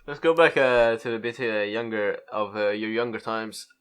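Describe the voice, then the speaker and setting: Loud, laughing podcast host. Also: male voice, lowish voice, normal speed, smooth. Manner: loud, laughs often, asks questions. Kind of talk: casual podcast chat.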